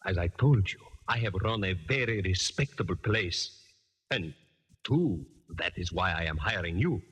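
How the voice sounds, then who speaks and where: An actor playing an anxious restaurant owner, formal and pleading, in a 1940s radio drama. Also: male voice, deep voice, expressive, normal speed, a bit bright, slightly rough, exaggerated voice. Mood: nervous, formal.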